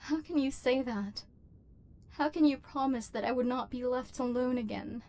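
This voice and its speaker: A woman voicing a lonely, wounded female robot overlord in an ASMR roleplay, speaking in formal, measured, machine-like phrases, guarded but yearning. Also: high voice, darkish tone. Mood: wary, softening.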